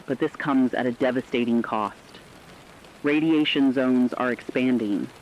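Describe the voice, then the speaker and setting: A man plays a serious radio news correspondent with a mid-pitched voice. The scripted report comes out sober and deliberate, through slightly dull audio with faint noise. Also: close to an everyday voice. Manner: sober, deliberate, dramatic delivery.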